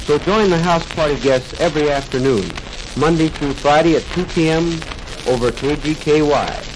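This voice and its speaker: A man's voice: a little high, normal speed. An American man reading a station announcement in vintage radio announcer style. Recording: noisy old radio recording.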